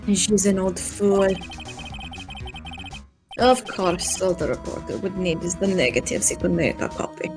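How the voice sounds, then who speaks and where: A woman playing a cold, menacing studio producer in a game dub, speaking with deliberate, cryptic condescension. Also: fairly low pitch, expressive, music in the background. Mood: condescending. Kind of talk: fan live dub of a visual novel game.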